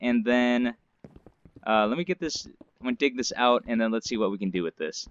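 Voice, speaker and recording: A man's voice: mid-range voice, normal speed, dull audio. A man hosting a survival game series, chatting casually with lots of fillers while sounding stuffed up from allergies. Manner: chatty, rambling, many fillers. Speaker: gaming channel host. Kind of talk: casual let's-play commentary.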